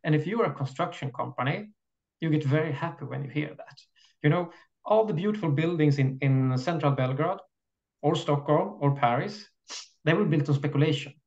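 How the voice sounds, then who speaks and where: Swedish-accented male guest, firm and deliberate, slightly high voice, muffled lo-fi audio. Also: gravelly, darkish tone. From Sweden. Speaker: guest, architecture activist. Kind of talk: interview over a call.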